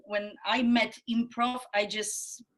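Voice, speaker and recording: Polish woman, 30s, unhurried and halting, interview guest. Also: a little high, level tone, fairly bright tone, low-quality recording. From Poland. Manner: hesitant, many pauses and fillers. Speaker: improv theatre trainer, guest. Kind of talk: casual interview talk in a second language.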